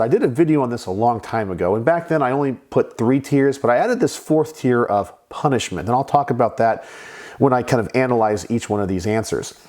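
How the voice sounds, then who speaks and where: A man hosting his own channel, talking casually to the camera, a bit raspy and stuffed up from a sinus infection, measured and explanatory. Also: medium pitch, darkish tone. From Texas. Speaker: channel host.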